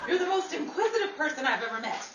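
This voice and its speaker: A woman playing a chatty, quick-witted actress in a playful 1930s radio comedy. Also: high voice, sing-song. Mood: hurried, bossy. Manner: playful, wisecracking ad-libs. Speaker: host and lead actress. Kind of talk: 1930s radio comedy acting before an audience. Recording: roomy, distant, noisy live audience recording.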